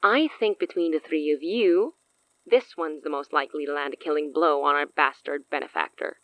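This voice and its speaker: A woman's voice: sits low, moderate pace, a bit bright, no echo, poor audio. A woman playing a cocky, teasing supernatural villainess in a horror audio drama, with smug, coy delivery.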